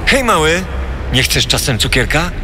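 An actor playing a leering, falsely friendly killer in a true-crime audio drama, creepy and coaxing. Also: male voice, fairly high pitch, very animated, moderate pace, background noise. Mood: luring.

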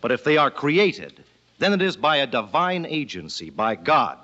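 A man's voice: medium pitch, big pitch swings, moderate pace, sharp tone. An actor playing a resolute, eloquent revolutionary statesman with formal, declamatory delivery in an old-time radio drama. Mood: earnest. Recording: dull vintage radio recording.

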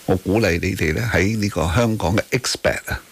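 A man's voice: low voice, fairly quick, creaky, slightly rough. A man with a Hong Kong Cantonese accent hosting radio talk, arguing emphatically about current affairs with rhetorical tag questions and bits of English. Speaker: radio talk show host. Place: radio studio.

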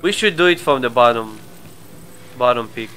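A man with a cold and a stuffy nose, chatting casually through a live game stream, his asides often trailing off quietly. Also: mid-range voice, expressive, normal speed, a little hiss or hum. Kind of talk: live gameplay commentary.

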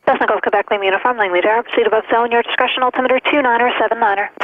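Air traffic controller, brisk and clipped, procedural delivery, dry phone-like radio channel. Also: medium pitch, steady tone, no room sound. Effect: aviation radio transmission. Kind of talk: rapid procedural radio phraseology. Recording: tinny narrowband aviation radio.

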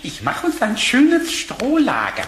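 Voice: medium pitch, wildly swooping pitch, a little slow, a bit bright. An actor playing a cheeky, bold male rabbit who wisecracks and haggles in a children's cartoon audio play. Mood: sly, cheeky. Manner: brash, wisecracking. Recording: roomy, faint background noise.

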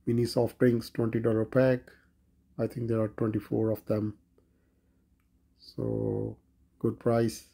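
A man's voice: on the low side, completely flat delivery, slow, gravelly, pauses often, warm tone, breathy edge. A man vlogging a walk through a large grocery store, plainly listing produce and prices. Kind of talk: grocery store walkthrough narration.

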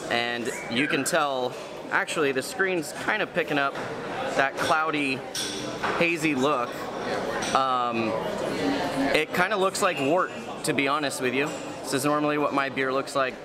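A man in his thirties casually reviewing beers at a brewery cafe, chatty and enthusiastic with lots of fillers. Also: medium pitch. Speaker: beer reviewer. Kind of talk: casual beer tasting review. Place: busy brewery cafe indoors. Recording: loud cafe crowd behind. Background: crowd chatter.

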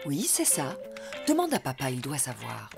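A woman playing a gentle, patient mother donkey in a French children's cartoon, soft and encouraging. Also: very deep voice, huge pitch swings, moderate pace, creaky, heavily acted. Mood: patient, unsure. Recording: music behind the voice.

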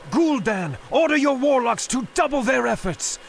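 A commanding fantasy villain declaims in a high, slow, grandiose voice. He is a sinister game sorcerer, heard with a faint buzzing noise behind him. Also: expressive, vocal fry, heavily acted. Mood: grand, commanding. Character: commanding sinister male sorcerer rallying an invasion.

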